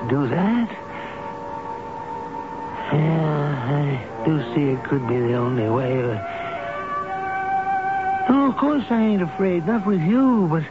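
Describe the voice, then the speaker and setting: An actor playing an addled, gentle, childlike old man who talks haltingly and repeats himself in a 1970s radio drama. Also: on the high side. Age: older. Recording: tinny narrowband old radio broadcast with music.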